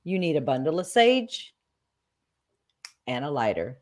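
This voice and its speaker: Woman, lowish pitch, very lively intonation, folksy, emphatic, casual explainer. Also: measured pace. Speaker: co-host of a paranormal show. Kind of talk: casual, instructional chat.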